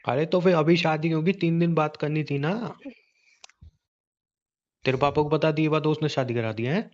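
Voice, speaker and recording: A man's voice: on the high side, normal speed, a little mellow, close to an everyday voice. A man playing a hot-tempered, suspicious young husband who badgers bluntly with repeated questions in a conversational audio drama. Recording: dull, lo-fi call-recording sound.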